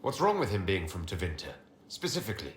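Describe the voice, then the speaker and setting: An actor playing a self-assured leader of a fantasy order, speaking in a direct, confident way. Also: male voice, low-pitched, wildly swooping pitch, fast talker. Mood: challenging. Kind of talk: video game dialogue acting. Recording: roomy game capture, faint background noise.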